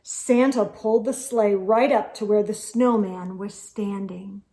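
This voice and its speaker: A woman storyteller reading a children's book aloud expressively, with deliberate phrasing and dramatic emphasis. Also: mid-range voice, steady tone, mellow.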